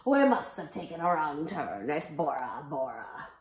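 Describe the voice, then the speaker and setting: Woman playing a loud, hearty pirate captain. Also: big pitch swings, echoey room, a little hiss or hum. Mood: hearty, bewildered. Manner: booming drawn-out old-time pirate speech. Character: hearty gruff male pirate captain, friendly, commanding.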